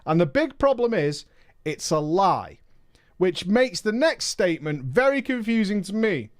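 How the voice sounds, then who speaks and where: High, lively male streamer. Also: a little slow. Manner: emphatic, mocking asides. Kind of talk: reading a viewer's story aloud with commentary.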